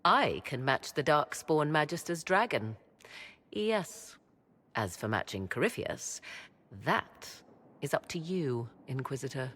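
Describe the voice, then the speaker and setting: A woman playing a proud, guarded sorceress in a fantasy game, with a measured, formal and brooding manner. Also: low voice, very animated, fairly bright tone, full character voice. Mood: resolute. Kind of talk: dramatic fantasy game acting. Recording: clear noise, ambience behind voice.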